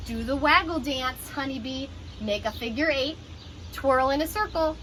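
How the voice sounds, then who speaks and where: Female teacher for children's read-aloud: higher-pitched, crisp and bright, instructive and expressive, slight background noise. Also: normal speed, rough recording. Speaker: teacher reading a picture book aloud. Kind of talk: children's storybook read-aloud with explanatory asides.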